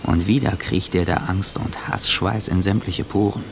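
A man's voice: low voice, steady tone, moderate pace. A man playing a bitter, anxious passenger, delivering a sardonic, contemptuous interior monologue in a radio play. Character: bitter, anxious man seething silently on a plane. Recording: faint background ambience noise.

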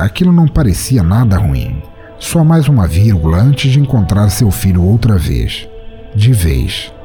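A Brazilian man hosting a podcast and narrating scripted fiction in an expressive, theatrical way. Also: low-pitched. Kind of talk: dramatic scripted short-story narration.

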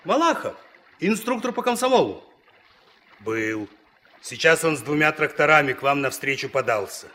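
Bright, high-voiced riverside official. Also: male voice, sing-song, normal speed. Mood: welcoming, brisk. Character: courteous, talkative riverside official greeting the crew. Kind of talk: Soviet radio play acting.

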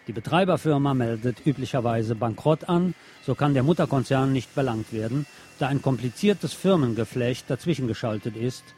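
A man's voice: medium pitch, mellow. A man presenting on the radio, reading press articles aloud.

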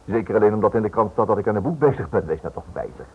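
Husky male radio-drama lead: stubborn, inquisitive amateur sleuth, very lively intonation, muffled old recording. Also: on the low side, very fast. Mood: placating. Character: stubborn, inquisitive husband and amateur investigator writing a book. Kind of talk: Dutch radio play acting.

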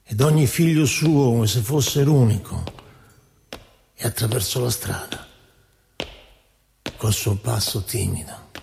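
A man reciting poetry dramatically, as in radio theatre, with measured, deliberate phrasing and pauses. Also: medium pitch, vocal fry, in a large hall, background noise. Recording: voice over prominent music. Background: loud musical instrument.

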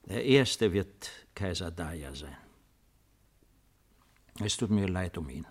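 An actor in a 1950s German radio play playing an old, weary, retired Roman emperor with a deliberate, ironic, theatrical delivery. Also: male voice, low voice, lively intonation, full character voice. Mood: melancholy. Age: older.

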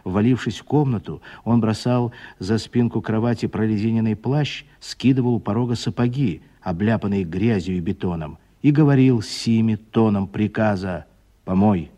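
A man narrating a literary radio play with expressive, scripted storytelling. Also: lowish voice, normal speed, hardly any pauses.

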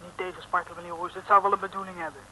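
A woman's voice: lowish voice, fairly even intonation, rapid-fire, pushed and bright. A woman playing a chirpy, practical young female detective sidekick in an old radio serial, chatty and respectful. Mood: wary. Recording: noisy old radio recording.

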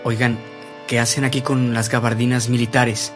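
Man acting a solemn priest over clear music. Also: medium pitch, little pitch movement, moderate pace, lightly acted. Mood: alarmed. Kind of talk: radio drama acting.

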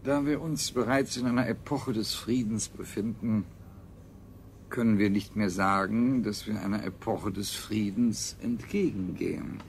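A self-satisfied scientist with a fairly low, gravelly voice. He speaks in measured, sententious phrases in the manner of 1970s radio drama, over faint recording noise. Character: self-satisfied, high-minded male scientist and family man.

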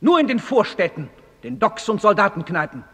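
An actor playing a proud, pompous Roman general who declaims indignantly in theatrical radio drama style. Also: male voice, high-pitched, sing-song, fairly quick, fairly bright tone. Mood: haughty. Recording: long cavernous hall reverb.

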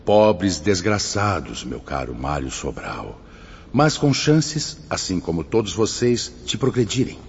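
Radio drama spirit guide: fatherly, grave, measured Brazilian, low voice with very animated melody. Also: slight background noise, exaggerated voice. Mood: compassionate, admonishing. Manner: measured, didactic, preacherly. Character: solemn, fatherly male spirit mentor guiding suicide souls. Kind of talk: scripted radio drama acting. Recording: muffled audio with music underneath.

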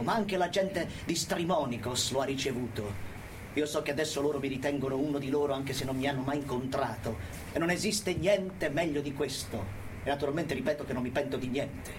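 A man's voice: fairly high pitch, slight background noise, lightly acted. A man playing a defiant, unrepentant anarchist militant in a declamatory, emphatic theatrical monologue to his judges. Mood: firm, measured.

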